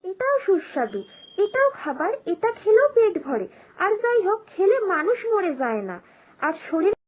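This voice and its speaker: A woman's voice: very high voice, big pitch swings, moderate pace, low-quality recording. A woman voicing a confident, caring young wife and daughter-in-law in a moral-story cartoon, earnest and persuasive. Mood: indignant.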